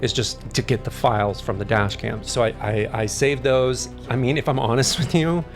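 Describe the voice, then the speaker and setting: Actor as an anxious, haunted rideshare driver. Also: male voice, mid-range voice, fairly even intonation, soft background music, close to an everyday voice. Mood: nervous, confiding. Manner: halting, repeats words, unsettled. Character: haunted, anxious male rideshare driver recounting strange pickups. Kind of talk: naturalistic scripted thriller podcast acting.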